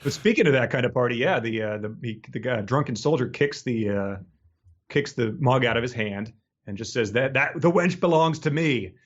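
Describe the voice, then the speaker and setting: A man's voice: medium pitch, lively intonation, normal speed, muffled recording. A man co-hosting a podcast in casual comedic chat, riffing and often laughing as he talks. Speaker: podcast co-host.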